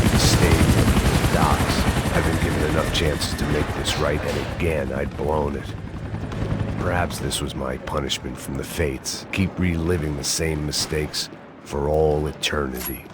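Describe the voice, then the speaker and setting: A man playing a jaded, middle-aged American ex-cop in a video game, narrating in a brooding, sardonic noir monologue with a gravelly voice. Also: extremely low pitch. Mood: bitter. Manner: sardonic, fatalistic. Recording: heavy gunfire and street noise behind.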